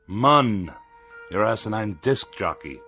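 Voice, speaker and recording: An irascible, haughty middle-aged misanthrope for radio drama. His voice is forceful and very animated, with indignant, sarcastic bite, over faint music. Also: fairly low pitch, slow pace, heavily acted. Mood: haughty, demanding.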